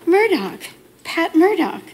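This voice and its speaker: A woman's voice: very high-pitched, sing-song, takes it slowly, slight background noise, heavily acted, rough recording. A woman playing a worried, insistent young wife in an old-time radio drama. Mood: anxious. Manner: anxious, pressing. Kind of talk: 1940s radio acting.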